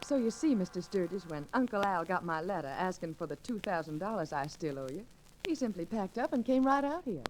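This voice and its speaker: A woman's voice: lowish voice, very animated, fairly quick. Young rancher woman, noisy recording. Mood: earnest. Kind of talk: old-time radio drama acting. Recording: noisy old radio recording with clicks.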